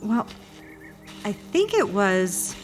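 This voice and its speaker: A woman playing a gentle, modest adventurer in a fantasy audio drama, with a mild, unhurried manner. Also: mid-range voice, sing-song. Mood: modest, easygoing. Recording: faint music, birds and insects behind.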